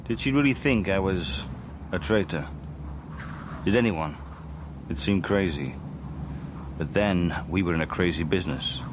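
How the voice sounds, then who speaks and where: An actor playing a wry, weary and guarded Cold War spy in a radio drama, with a dry, understated delivery. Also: male voice, sits low, expressive, measured pace, long pauses, noisy background. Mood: brooding, reflective. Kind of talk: radio spy drama acting with first-person narration.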